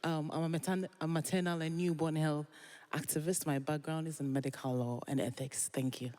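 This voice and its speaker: Low, dark-voiced woman from Ghana. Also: fairly even intonation, fairly quick, a little hiss or hum. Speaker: health activist, panelist. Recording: echoing hall, mic at a distance.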